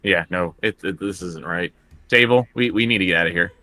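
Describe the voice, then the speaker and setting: Bright, forceful low-voiced man roleplaying. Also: a little fast, dry recording. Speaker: tabletop game player. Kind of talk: casual in-character roleplay chat.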